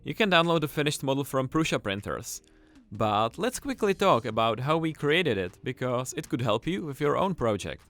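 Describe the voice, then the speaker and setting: A man presenting a scripted tutorial, explaining things clearly and with enthusiasm. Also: mid-range voice, very animated, normal speed, fairly bright tone. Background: music.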